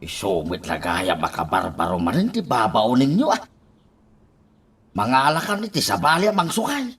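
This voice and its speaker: A man playing a grumbling, excitable boss in an Ilocano radio drama. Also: on the low side, normal speed, husky, exaggerated voice. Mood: grumbling, protesting.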